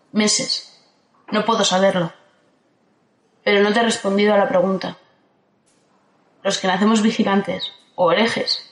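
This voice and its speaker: A woman from playing a calm, ageless guardian who speaks in a steady, firm way in an audio drama. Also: medium pitch, natural voice. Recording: roomy echo.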